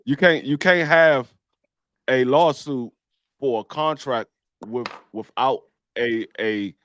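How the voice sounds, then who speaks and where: A man's voice: medium pitch, slow pace, a bit bright, no room sound. A man co-hosting a boxing talk show, stammering as he argues a point through examples. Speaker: co-host. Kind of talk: casual sports chat.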